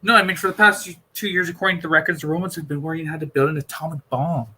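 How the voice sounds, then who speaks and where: A precise, excitable male scientist doctor from a storybook as acted by a male reader. He lectures firmly and emphatically in a raised, very sharp, forceful voice. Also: fairly high pitch, rapid-fire, husky, voice pushed up, exaggerated voice. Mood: grave explaining.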